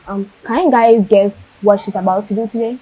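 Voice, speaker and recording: A woman's voice: medium pitch, fairly even intonation, moderate pace, dark tone, breathy edge, rough recording. A woman hosting a TV show, introducing segments in a friendly, chatty way. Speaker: TV show host. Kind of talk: presenting a youth TV show.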